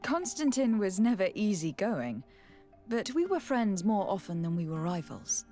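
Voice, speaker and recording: A woman's voice: medium pitch, sing-song, normal speed, warm tone, soft background music, close to an everyday voice. An actress playing a composed, direct young noblewoman in a video game, poised and articulate. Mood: curious, fond. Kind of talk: video game dialogue acting.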